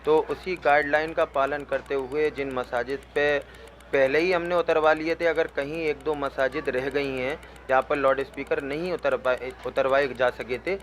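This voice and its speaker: A man's voice: mid-range voice, fairly even intonation, normal speed, a little hiss or hum. A man being interviewed for the news, explaining spontaneously and repeating phrases as he goes. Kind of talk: spontaneous news sound bite.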